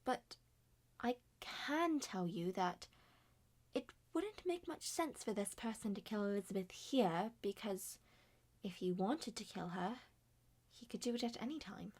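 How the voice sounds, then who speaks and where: A woman's voice: medium pitch, expressive, a little slow, darkish tone. A woman acting out several characters in an ASMR roleplay. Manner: switches between several acted characters. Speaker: amateur voice performer.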